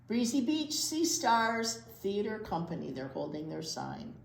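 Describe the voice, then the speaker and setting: A woman reads a story for young children at an unhurried pace. Her pitch swings widely with drawn-out emphasis, and the room adds a clear echo. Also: sing-song. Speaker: children's library storytime co-host and reader. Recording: echoey room.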